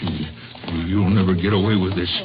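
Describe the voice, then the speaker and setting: An actor playing a plainspoken, easily rattled American bank guard in an old radio crime drama. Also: male voice, low voice, steady tone, normal speed, natural voice. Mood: frightened. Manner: chatty, stammering under pressure. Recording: muffled, hissy old radio recording.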